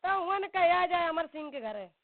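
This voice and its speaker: A woman's voice: very high-pitched, lively intonation, a little fast, no room sound. A middle-aged woman with a rural western Uttar Pradesh accent, arguing accusingly on a phone call and cutting in often. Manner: argumentative, accusatory, interrupting. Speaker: caller, mother in a family dispute. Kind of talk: heated phone argument. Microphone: telephone. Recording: narrowband phone call recording.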